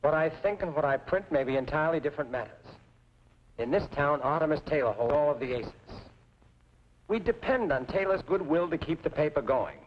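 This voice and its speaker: An actor playing a resigned, paternal middle-aged newspaper editor in an old western drama. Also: male voice, a little high, lively intonation, brisk, lightly acted. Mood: firm, resigned. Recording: dull old soundtrack, faint hiss.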